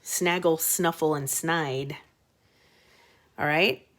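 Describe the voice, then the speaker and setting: A woman's voice: low-pitched, fairly even intonation, slow, a bit bright. A woman elementary teacher explaining a story to young children in simple, conversational words, stopping for short check-in questions. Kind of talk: read-aloud reading lesson for young children.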